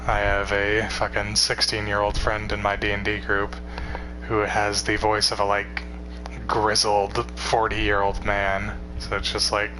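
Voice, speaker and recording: Tired young man, low flat voice. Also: measured pace, noisy background. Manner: rambling, relaxed, swears casually. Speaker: streamer. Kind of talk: casual chat with stream viewers.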